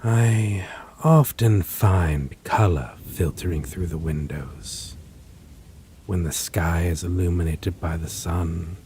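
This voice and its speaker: Man as a slow, low, possessive vampire. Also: mellow. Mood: cold, melancholy. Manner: formal, theatrical, ornate wording, lingering pauses. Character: possessive male vampire, menacing, wistful, aristocratic. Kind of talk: dramatic audio roleplay monologue to a listener. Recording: faint noise, rain and thunder ambience.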